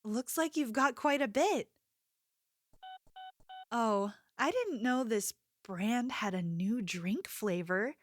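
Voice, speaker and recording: A woman playing a sweet, attentive young convenience store clerk in an ASMR roleplay, speaking softly with a gentle, hesitant manner. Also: a little high, animated, slow pace, a little hiss or hum. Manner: gentle, apologetic, hesitant, solicitous.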